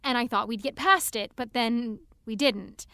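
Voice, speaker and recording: Nervous, high-voiced teenage girl. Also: measured pace, pushed and bright, no echo, clean studio sound. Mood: hurt, confessional. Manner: hesitant, rambling, anxious. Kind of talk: naturalistic audio drama acting.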